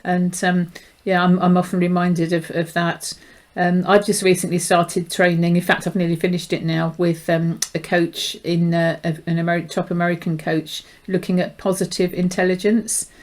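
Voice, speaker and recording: Middle-aged woman, flat intonation. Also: fairly low pitch, normal speed. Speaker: interview host, behaviour profiling specialist. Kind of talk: conversational interview.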